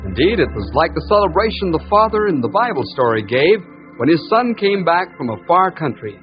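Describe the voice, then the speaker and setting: Casting: male serial narrator, lively, highish voice, storytelling over music on a dull old recording. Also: a little fast. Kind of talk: old-time radio scripted storytelling. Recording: dull vintage radio with music.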